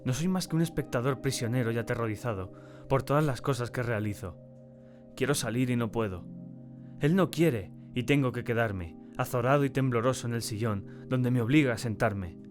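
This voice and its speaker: A man acting a tormented, terrified diarist in a dramatic first-person audiobook narration, with a solemn and deliberate, theatrical delivery. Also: mid-range voice, soft background music, lightly acted. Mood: anguished, subdued. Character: tormented, terrified man confiding in his diary.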